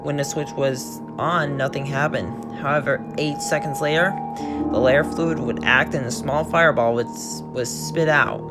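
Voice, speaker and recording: A man's voice: medium pitch, steady tone, normal speed, loud music behind the voice. A man hosting his channel who reads a creepypasta aloud word for word, often stumbling and restarting his sentences. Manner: plain reading with frequent stumbles and restarts. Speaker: channel host and story reader.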